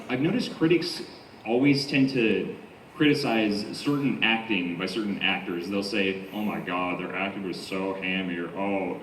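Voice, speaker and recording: A man asking a question from the audience at a panel as an aspiring voice actor, a little hesitant. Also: lowish voice. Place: convention panel room. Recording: echoey room, distant mic, faint noise.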